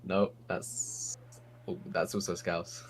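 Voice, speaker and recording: A man's voice: deep voice, little pitch movement, slow pace, slight background noise. A young man from England giving casual online race commentary from his computer, chatty and laughing often.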